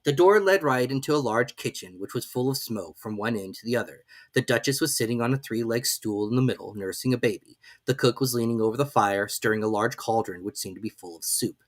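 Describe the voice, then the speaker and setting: A woman reads a storybook aloud in a very deep voice. Her narration is expressive, with lively rises and falls in pitch. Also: brisk, few pauses. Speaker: audiobook reader.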